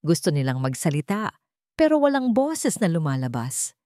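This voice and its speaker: A woman reads a dramatic audio story with measured, suspenseful phrasing. Her voice sits a little low and moves widely in pitch. The recording is dry and close. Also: very animated, dark tone, no echo. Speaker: story narrator. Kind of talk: dramatic scripted narration of a Tagalog audio drama.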